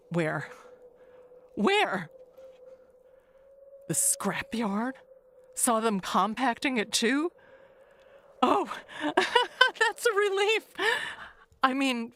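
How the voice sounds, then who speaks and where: An actress plays a lonely, nervous neighbor woman of middle age in an audio mystery play. She talks very slowly and haltingly, with pitch that swings about and trails into hushed musing. There is faint noise behind her. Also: heavily acted. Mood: flustered, prying.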